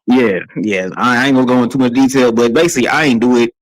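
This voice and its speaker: A man's voice: medium pitch, poor audio. A man in his thirties from Texas, talking casually and rambling as a guest on an online panel. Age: 30s. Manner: fast, rambling, repeats himself. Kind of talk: casual podcast chat.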